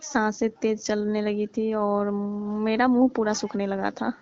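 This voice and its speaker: A woman's voice: mid-range voice, level tone, a little slow, darkish tone, slight background noise, close to an everyday voice. A young woman playing a coy, bashful female student, speaking hesitantly and pleadingly in casual conversation. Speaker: performer in a comic prank-style phone call skit. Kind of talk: casual phone conversation skit. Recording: muffled lo-fi phone call recording.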